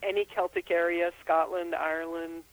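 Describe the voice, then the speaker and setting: A woman's voice: lowish voice, little pitch movement, normal speed, dry recording, low-quality recording. An older woman from Austria, a herbalist and author, giving interview answers in a thoughtful, explanatory way with frequent ums. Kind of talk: interview answers over the phone.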